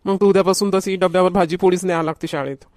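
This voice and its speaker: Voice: mid-range voice, steady tone, fast talker, a little mellow, dry recording, clean studio sound, natural voice. A performer voicing a food-loving, whiny young schoolboy in a homemade comedy cartoon, with a Varhadi Marathi accent. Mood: eager. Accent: Varhadi Marathi from Vidarbha.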